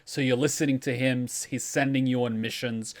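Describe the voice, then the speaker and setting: Conversational man with mid-pitched voice. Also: fairly even intonation, a little fast. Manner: conversational, explaining step by step. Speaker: reaction video host. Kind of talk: casual explanatory commentary.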